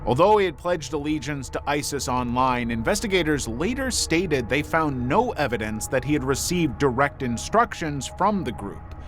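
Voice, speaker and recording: A man reading scripted true-crime documentary narration in a measured, serious manner. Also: fairly high pitch, sing-song, soft background music.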